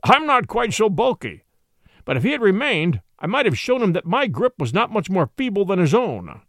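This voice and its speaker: A man's voice: high-pitched, sing-song, normal speed, fairly bright tone, no room sound, studio quality, pitched above the performer's own voice, full character voice. A man voicing a coolly amused, unflappable detective in an audiobook, blandly and wryly polite.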